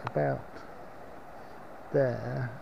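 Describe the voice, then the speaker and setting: A gravelly-voiced man chats his way along a clifftop, pointing things out as he walks. The camera audio is dull and muffled, and steady wind noise runs under every word. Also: mid-range voice, drawn-out words. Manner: chatty, points out sights as he walks. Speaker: vlogger filming a coastal walk. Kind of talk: casual running commentary. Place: outdoors on a windy clifftop. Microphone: handheld action camera.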